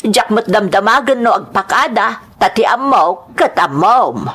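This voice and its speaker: A woman's voice: medium pitch, lively intonation, measured pace, a bit bright, husky. Older mother, wary and watchful played by a woman, mid-range voice, Ilocano audio drama. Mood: wary, insinuating.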